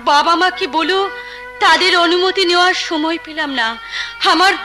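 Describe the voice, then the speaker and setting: A woman's voice: very high-pitched, steady tone, moderate pace, music in the background. A woman playing a devoted, distressed young housewife in Bengali folk-theatre melodrama, tearful and pleading in her delivery. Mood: grief-stricken.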